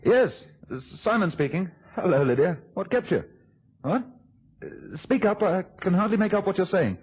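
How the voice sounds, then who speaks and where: An actor playing a scheming, controlling husband in a radio thriller, instructing with quiet urgency. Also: male voice, high-pitched, expressive, moderate pace, husky, full character voice. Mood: feigned casual. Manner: urgent, instructing, hushed asides to an accomplice. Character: scheming husband, controlling, quick-thinking, coolly plotting a deception. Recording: muffled, dull old radio drama recording.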